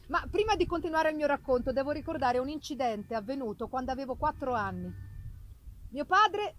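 A woman voicing a sorrowful ruined gentleman who tells his life story in a grave, formal, deliberate way, in a dramatic novel reading. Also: sits high, low-quality recording. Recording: noticeable background noise, live performance reading.

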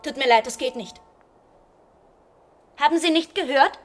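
A woman's voice: high-pitched, measured pace, soft background music. An actress in a German radio play playing a frightened but defiant woman standing up to an intruder. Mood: wary, firm. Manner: tense, firm, imploring.

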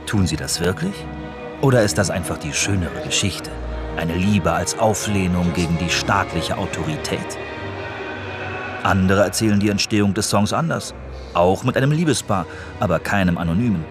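A man narrates a radio documentary in a fairly low voice. The script is delivered as feature narration, with music playing clearly behind him. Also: moderate pace. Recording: music under the voice.

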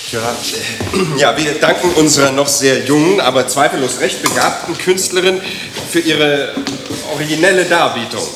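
A man in a German radio play acting a flustered, courteous master of ceremonies, speaking formally and haltingly. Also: a little high, expressive, crowd noise, natural voice. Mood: awkwardly gracious. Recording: echoey hall with busy audience noise.

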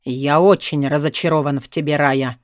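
A cold, domineering man from an audiobook drama, performed by a woman reader. The voice is dropped low and speaks in a bitter, commanding way with a menacing edge. The sound is very dry. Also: fairly even intonation, moderate pace, no echo, close to an everyday voice. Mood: cold anger.

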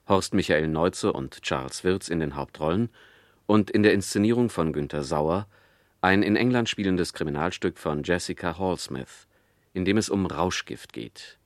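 A man's voice: low voice, no room sound. A man announcing a radio crime play in a formal, solemn vintage broadcast style.

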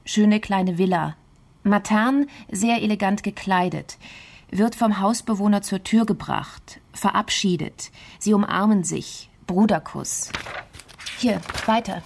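A woman's voice: mid-range voice, slow, gravelly, darkish tone. Composed, matter-of-fact female investigator. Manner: matter-of-fact, clipped case reporting. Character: composed, determined female investigator laying out a case. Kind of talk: crime radio play dialogue.

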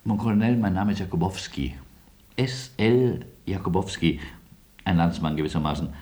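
A man playing a nervous, effusive émigré optimist in a German radio play, speaking in a theatrical, excitable way. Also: low voice, moderate pace, natural voice. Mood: nervous, fervent.

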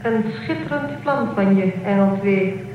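A woman playing a composed, scheming android guide in a radio drama, speaking with deliberate instruction. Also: medium pitch, close to an everyday voice. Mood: cool, questioning. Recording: very echoey, thin phone-like band, noisy, distant.

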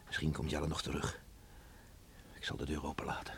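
A low-voiced, stern middle-aged farmer father, stubborn and weighed down by remorse. He broods and halts in a radio drama, with faint noise on the recording. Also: completely flat delivery, fairly bright tone. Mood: desolate, muttering. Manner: brooding, halting, muttering to himself. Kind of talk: Dutch radio play acting.

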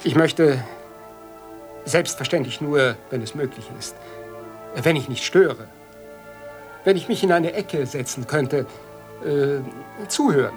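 A man's voice: fairly high pitch, takes it slowly, pauses often, soft background music. An actor playing a nervous, apologetic young male writer in a radio play, hesitant and over-polite. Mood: timid, polite. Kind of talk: 1990s German radio play acting.

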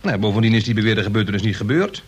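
A man's voice: fairly low pitch, expressive, racing, close to an everyday voice. A man playing a nervous but cheeky grown son, a municipal clerk, with wry wording in a Dutch radio play. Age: adult. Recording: noisy old radio play recording.

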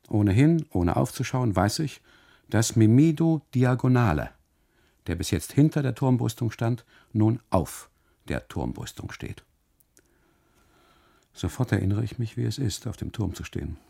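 An actor playing an uneasy husband on holiday in a literary radio monologue, narrating inwardly and haltingly in a gravelly, husky voice. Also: male voice, on the low side, big pitch swings, warm tone, heavily acted. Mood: anxious unease. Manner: halting, brooding, deliberate phrasing with repetitions and dramatic pauses. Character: uneasy German husband on holiday, obsessively narrating his story.

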